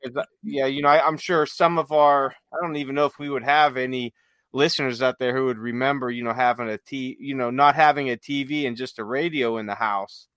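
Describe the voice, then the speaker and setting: A man chatting casually as a podcast host, halting and peppering his talk with filler phrases. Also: mid-range voice, fairly even intonation, a bit bright, smooth, no room sound. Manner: halting, peppered with you know. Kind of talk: casual chat.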